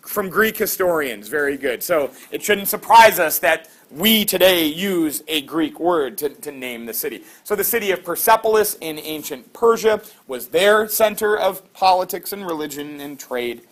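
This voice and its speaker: A middle-aged man teaching a class, lively and quizzing students with quick questions. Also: a little high.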